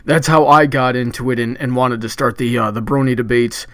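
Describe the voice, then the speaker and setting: A man's voice: medium pitch, fairly quick, bright, forceful tone, no echo, studio quality. A man hosting a live debate stream in a chatty, upbeat way, with frequent filler restarts. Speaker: debate livestream host. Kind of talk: casual hosting chat.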